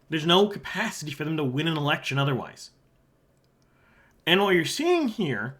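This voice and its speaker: A man from Halifax with a sore throat, giving casual solo commentary as he walks through an election forecast map. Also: on the high side. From Halifax, Canada. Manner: casual and conversational, drops quieter aside asides while pointing at a map. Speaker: election analyst, channel host.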